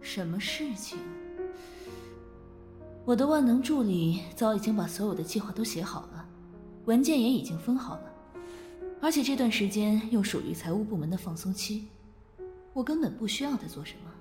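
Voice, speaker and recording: A woman acting a composed, shrewd, mature finance director with a calm, cool manner in a modern audio drama. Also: mid-range voice, moderate pace, pauses often, faint music behind, close to an everyday voice. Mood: businesslike, reflective.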